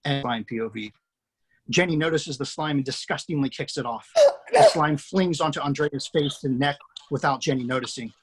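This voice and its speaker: A man reading screenplay action lines aloud in a steady, matter-of-fact way. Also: on the high side, normal speed, slight background noise, poor audio. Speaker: screenplay reader.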